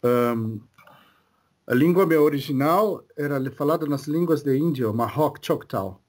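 A man speaking as a spirit guide in a religious session, slow and hesitant, often pausing to search for his words. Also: fairly high pitch, lively intonation. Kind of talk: slow halting spiritual talk.

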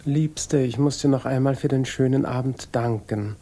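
An actor playing a tender, smooth violinist lover, gently evasive, in a 1950s German radio drama. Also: male voice, medium pitch, normal speed, lightly acted. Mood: polite, evasive.

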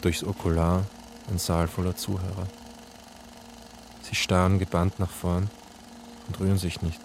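Casting: troubled young film assistant, deep voice, halting and slow, radio drama. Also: male voice, level tone, gravelly, a little hiss or hum. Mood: haunted. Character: troubled, hesitant young male camera assistant. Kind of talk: German radio drama acting. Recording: music behind the voice.

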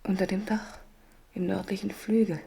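A woman's voice: mid-range voice, level tone, moderate pace, gravelly, mellow, natural voice. An actress in a German radio drama playing a king's despairing, fearful mistress, intense and often whispering. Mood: hushed dread. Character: despairing, fearful royal mistress foreseeing her own death.

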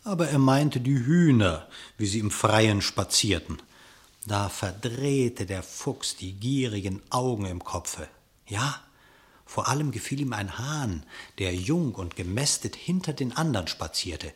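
Animated male verse narrator, lowish voice. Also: measured pace. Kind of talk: expressive scripted verse storytelling for radio.

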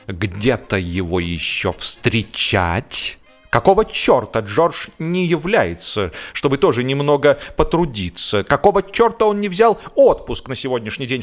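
A man's voice: medium pitch, natural voice. A man voicing an indignant holiday boater in a humorous audiobook, ranting in exasperated rhetorical questions. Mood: furious. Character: indignant, grumbling, blustering male holiday boater.

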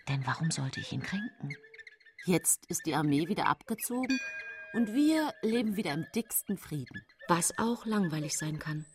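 An actress playing a seemingly pious, gentle yet secretly cold 19th-century housewife, confiding her story in a measured radio drama monologue. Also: on the low side, expressive, background noise, lightly acted. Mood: intimate, confiding, then self-righteous calm. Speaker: actress narrating in a radio play. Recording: music bed behind studio voice.

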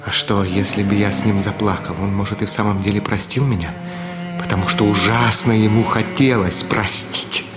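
An actor playing an agitated middle-aged gentleman reasoning with himself intensely and deliberately in a radio drama. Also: on the low side, steady tone, close to an everyday voice. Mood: bitter, musing. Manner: intense, deliberate, self-questioning, with swings from murmur to outcry. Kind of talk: Russian radio drama acting, dramatic monologue. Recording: studio radio play with loud music. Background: loud music.

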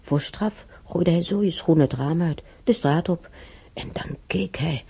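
A man playing an elderly German emigrant in a radio drama, reminiscing in a rambling, halting way with a German accent and husky, creaky voice. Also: on the high side, warm tone, exaggerated voice. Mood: amused, nostalgic. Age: older. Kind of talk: intimate radio drama monologue.

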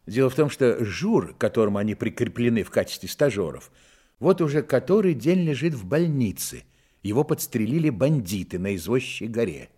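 A male storyteller in a radio drama. His mid-pitched voice moves widely and expressively through scripted narration, in a dramatic manner. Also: very animated, brisk, warm tone, breathy edge. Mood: measured storytelling. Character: storyteller narrating young detectives' lives, expressive.

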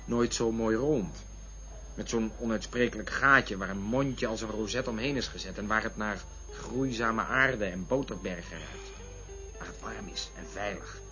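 A man's voice: on the low side, moderate pace, close to an everyday voice. Male actor playing crude joking man, restless, rambling, bitter asides, radio drama, lo-fi sound, faint music. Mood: wistful, musing. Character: restless, crude, joking man brooding over love and women. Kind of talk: radio play acting. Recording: dull lo-fi radio recording with music.

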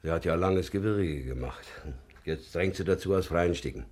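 A man acting a pious, stern old village father in a German radio drama, speaking gravely in rustic dialect. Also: sits low, moderate pace, a little mellow. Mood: solemn, contented.

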